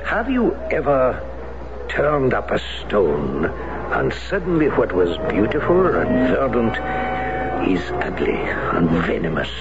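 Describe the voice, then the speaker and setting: An actor playing a guarded French former Resistance man who speaks in measured, solemn warnings, in a radio drama. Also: medium pitch, huge pitch swings, heavily acted. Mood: grave. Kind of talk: 1970s radio drama acting. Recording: dull lo-fi radio broadcast with music.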